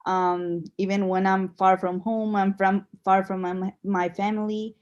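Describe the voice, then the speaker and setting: A young woman with a Spanish accent, her first language Spanish, who pauses and searches for words in English. She speaks on a panel over a video call at a medium pitch. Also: level tone, a little mellow, muffled recording. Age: young adult. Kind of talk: panel discussion.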